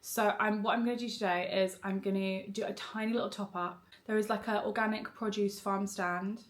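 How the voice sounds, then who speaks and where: A young woman vlogging on her phone in a relaxed, chatty way, a bit bunged up from a cold. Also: mid-range voice, a little fast. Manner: chatty and relaxed, rambling with frequent "like". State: congested, bunged up nose. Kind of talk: chatty vlog talk to camera. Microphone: phone camera.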